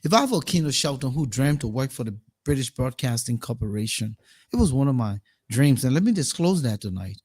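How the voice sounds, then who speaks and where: A man's voice: mid-range voice, lively intonation, brisk, a little mellow, husky. A Liberian man with a Liberian accent hosting a current affairs talk show, speaking emphatically and repeating words as he builds his points.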